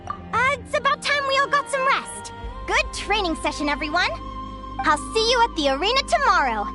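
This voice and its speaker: A woman voicing a young, curious bird fae explorer in a video game, talking in an eager, wondering way. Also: very high voice. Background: game music.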